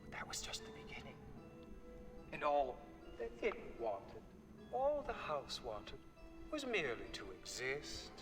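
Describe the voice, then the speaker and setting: A slow, echoing sinister tempter. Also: male voice, a little high, huge pitch swings. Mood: sinister, musing. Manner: theatrical, slow, dramatic pauses, insinuating. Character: sinister seductive master of a living haunted house, tempting victims. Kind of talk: 1990s adventure game cutscene acting. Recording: long hall reverb, distant, music underneath. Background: music.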